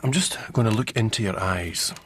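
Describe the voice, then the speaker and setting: An actor playing a patient, polite young doctor with a Scottish accent in a period radio drama. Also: male voice, lowish voice, moderate pace, slightly rough. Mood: patient, professional.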